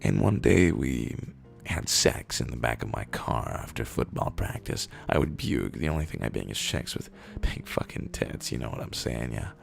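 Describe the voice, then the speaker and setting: A man with a hoarse, gravelly voice playing a defensive, bragging teenage football jock who stammers and blusters in a comic reading. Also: very deep voice, wildly swooping pitch, moderate pace, hardly any pauses, faint music behind, voice pushed down, heavily acted. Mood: nervous bluster.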